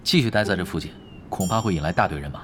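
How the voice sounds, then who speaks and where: An actor playing a cool-headed veteran gamer who leads his team, calmly explaining tactics in an audio drama. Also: sits low, very fast, slight background noise. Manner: calm, confident, explains tactics patiently. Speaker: expert player leading a team.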